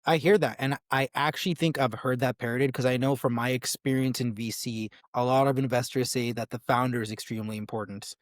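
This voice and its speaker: A man's voice: medium pitch, fairly even intonation, brisk, few pauses, no echo, studio quality. A man hosting a podcast interview, asking questions in an easygoing way, with lots of fillers. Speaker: podcast host. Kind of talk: casual interview talk.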